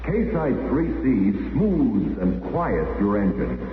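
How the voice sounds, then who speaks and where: An emphatic product announcer from 1950s American radio. Delivery is unhurried with wide swings in pitch. The dull vintage recording is drenched in reverb. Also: male voice, very animated, slight background noise. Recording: very echoey, muffled radio recording over music.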